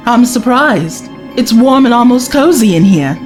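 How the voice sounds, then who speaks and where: Girl acting cheeky young sidekick woman, highish bouncy voice, teasing, sci-fi audio play with light music behind. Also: measured pace. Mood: impressed, teasing. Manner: expressive, teasing. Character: spirited, cheeky young woman companion from the twenty-first century.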